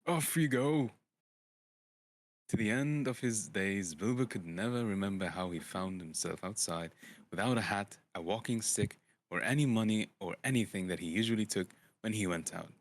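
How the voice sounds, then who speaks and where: Deep-voiced man narrating a storybook. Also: moderate pace, dry recording. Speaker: audiobook reader, streamer. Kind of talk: informal dramatic storybook narration.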